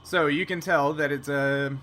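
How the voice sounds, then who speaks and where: A man narrating an improvised sci-fi tabletop game as game master, conversational and pausing to find his words. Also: fairly high pitch, fairly even intonation, music in the background.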